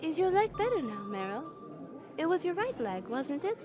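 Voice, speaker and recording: High, lively young female analyst. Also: normal speed, over music. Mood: friendly, polite. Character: polite, friendly young female military analyst. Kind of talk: audio drama acting.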